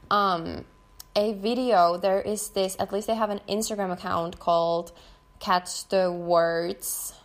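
A young woman who is not a native English speaker, hosting her own podcast in a casual, chatty way, full of fillers and quick laughs. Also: medium pitch. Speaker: podcast host. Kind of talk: casual solo podcast talk.

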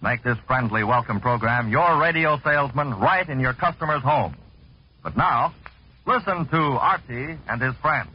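A man's voice: mid-range voice, animated, normal speed, slightly rough. A man delivering scripted 1940s promotional radio narration in a polished, salesman-like manner. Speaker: radio announcer. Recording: dull vintage radio recording.

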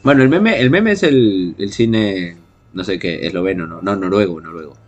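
A man's voice: sits low, animated, moderate pace, lo-fi sound. A man co-hosting a podcast, chatting casually in a wry, joking way. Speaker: podcast co-host. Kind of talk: casual chat with wry interjections. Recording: background music under the voice.

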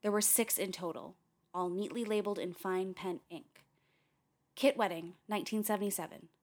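A woman acting a defiant, protective young woman who is intense and sharp-tongued, in a radio play. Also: mid-range voice, fairly even intonation, moderate pace, natural voice. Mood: somber narration. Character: defiant, protective young woman, a sailor investigating family tapes.

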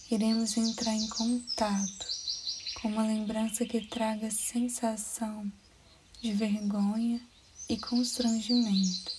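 A Brazilian woman guiding a meditation in a soothing, soft-spoken way, with breathy phrase endings. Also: fairly high pitch. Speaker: meditation guide. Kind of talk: guided meditation narration. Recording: clear background noise with birdsong. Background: birds chirping, outdoor-like ambience.

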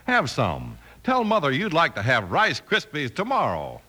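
A man's voice: fairly high pitch, huge pitch swings, a little slow, over loud music. An American man reading a vintage radio commercial to children in a chummy, coaxing manner. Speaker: commercial announcer. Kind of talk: 1950s radio cereal commercial, addressing children.

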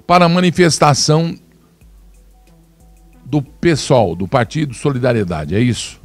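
A man's voice: high-pitched, no room sound. Emphatic man giving dry, close commentary. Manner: emphatic, slows down to stress words. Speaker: commentator presenting video clips. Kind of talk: opinionated political commentary.

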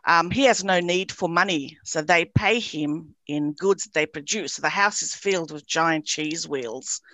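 A woman's voice: low voice, a little slow, a bit bright, no echo. A woman from Romania, an author being interviewed on a podcast, chatting with lots of fillers and frequent laughter. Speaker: author guest.

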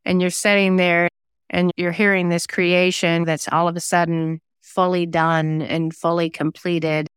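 A woman's voice: on the low side, flat delivery, unhurried, clear, resonant tone, dry recording, clean studio sound. A woman talking casually as an interview guest, chatty and self-effacing. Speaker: interview guest, singer-songwriter and novelist.

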